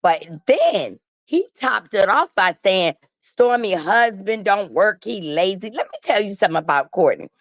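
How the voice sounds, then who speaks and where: A woman's voice: medium pitch, lively intonation, moderate pace, no room sound. Dull-sounding, emphatic woman gossip host. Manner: emphatic, sassy storytelling in colloquial speech. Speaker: talk show host. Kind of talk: casual opinionated gossip commentary. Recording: dull, lo-fi online audio.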